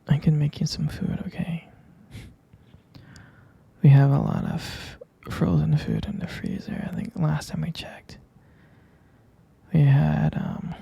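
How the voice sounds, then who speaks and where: 男演员扮演的温柔男友，气声耳语，沙哑低柔. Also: male voice, huge pitch swings, no room sound, full character voice. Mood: caring. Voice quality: soft, breathy whisper with low gravelly fry. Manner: slow, tender, hesitant with pet names. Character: affectionate, doting male vampire boyfriend soothing partner to sleep. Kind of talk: intimate ASMR roleplay.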